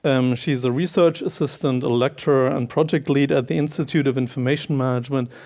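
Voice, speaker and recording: A German-accented man in his forties introduces panelists on a conference stage, with a mid-range voice. He talks in halting runs full of ums, miked on stage in a large hall. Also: mid-range voice, fairly even intonation, darkish tone. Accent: Bavarian German. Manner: hesitant, many ums, long introductions. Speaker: panel moderator.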